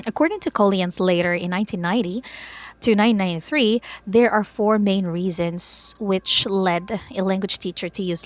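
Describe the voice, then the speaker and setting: A woman's voice: medium pitch, no echo. A woman from the Philippines with a Filipino English accent, lecturing on teaching English and filling her talk with "uh" and "okay" checks. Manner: explanatory, frequent fillers and restarts, checks in with "okay". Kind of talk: recorded video lecture.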